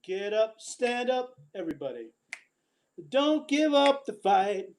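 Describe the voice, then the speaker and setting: A woman's voice: a little high, big pitch swings, slow pace, a little mellow, a little hiss or hum. A woman singing a reggae song live and calling for others to join in. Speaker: singer.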